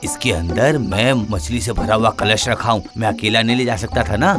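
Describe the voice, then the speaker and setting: A man's voice: on the low side, normal speed, over music, lightly acted. A man playing a sly, coaxing village farmer husband in a cartoon story. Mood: excited, straining. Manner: coaxing, exclamatory, wily.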